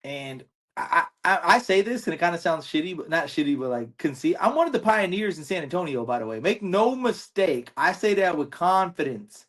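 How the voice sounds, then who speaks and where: Laid-back podcast host, slangy. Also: male voice, a little high, big pitch swings, moderate pace, few pauses, fairly bright tone. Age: 30s. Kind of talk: casual podcast chat.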